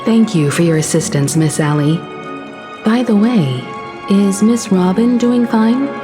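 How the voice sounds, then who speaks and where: A woman voicing a calm, analytical investigator companion who speaks in a measured, composed way. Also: medium pitch, close to an everyday voice. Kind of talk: game voice acting. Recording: game music behind.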